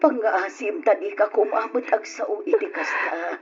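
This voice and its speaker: A woman's voice: mid-range voice, moderate pace, slight background noise, close to an everyday voice. A woman playing a consoling, sorrowful middle-aged mother in an old radio drama, with an Ilocano Filipino accent. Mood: consoling, mournful. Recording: dull radio recording under loud music.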